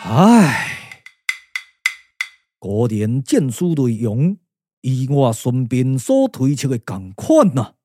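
An actor with a Taiwanese accent playing a solemn, weary strategist, speaking in measured, stately puppet radio drama style. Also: male voice, mid-range voice, big pitch swings, dark tone, dry recording, clean studio sound, faint music behind. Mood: grave.

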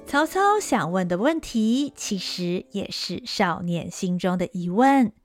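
A woman narrating an audio drama in a smooth, expressive storytelling manner. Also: medium pitch, unhurried, soft background music.

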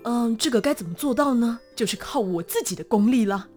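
A woman's voice: on the high side, animated, a little fast, darkish tone, faint music behind. A woman with a Taiwanese Mandarin accent chatting as an interview guest in a playful, theatrical manner. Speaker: guest, voice actor. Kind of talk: lively interview chat.

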